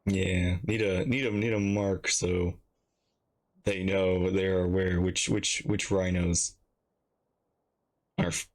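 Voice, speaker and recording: A man giving casual live game commentary as a streamer, halting and rambling as he thinks aloud. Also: low voice, level tone. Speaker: reaction streamer.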